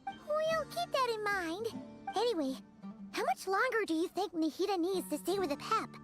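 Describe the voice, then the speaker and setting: A woman's voice: very high voice, expressive, moderate pace, music in the background. A woman voicing a perky, chatty little fairy girl companion in a video game, childlike and casual. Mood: eager, chatty. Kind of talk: scripted video game dialogue.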